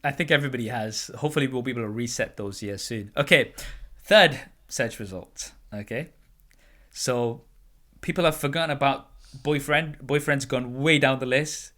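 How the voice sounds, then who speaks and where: A man in his twenties hosting a podcast interview, relaxed, often trailing off quietly and chuckling. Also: medium pitch, normal speed. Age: 20s. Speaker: podcast host. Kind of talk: casual interview.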